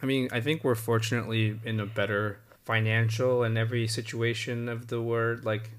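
A man's voice: mid-range voice, little pitch movement, normal speed, a little hiss or hum. A young man co-hosting a podcast in a casual, easygoing, slightly hedging way. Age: young adult. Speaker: podcast co-host. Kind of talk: casual chat.

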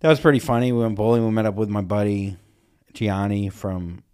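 A man's voice: fairly low pitch, fairly quick, a little mellow, clear, resonant tone. A young man co-hosting a podcast, chatting casually, telling loose stories, teasing and swearing freely. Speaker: podcast co-host. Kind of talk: casual chat.

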